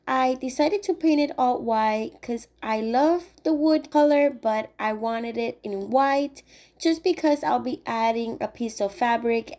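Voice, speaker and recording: A woman's voice: a little high, a little mellow. A woman hosting a craft channel, cheerfully talking through each step in a voice-over while getting over a cold. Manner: cheerful, chatty step-by-step explaining. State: recovering from a cold.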